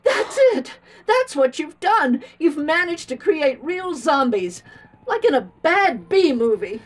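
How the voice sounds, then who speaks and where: A woman's voice: sits high, very animated, slow pace, exaggerated voice. An actress playing an appalled, accusing woman in a radio drama, questioning with emphatic indignation.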